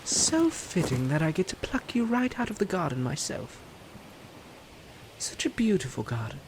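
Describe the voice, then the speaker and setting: An actor playing a suave, smug, flirtatious prince in a romantic roleplay audio drama, teasing and haughty with a sinister edge. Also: male voice, high-pitched, big pitch swings, normal speed, slight background noise, rough recording. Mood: silky, menacing. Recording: music under the voice.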